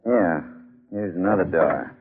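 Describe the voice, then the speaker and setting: An actor playing a genial, matter-of-fact professor in a 1940s radio horror play, courteous, wry and explanatory. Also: male voice, deep voice, expressive, measured pace, slight background noise. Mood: genial, assured. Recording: tinny vintage radio recording.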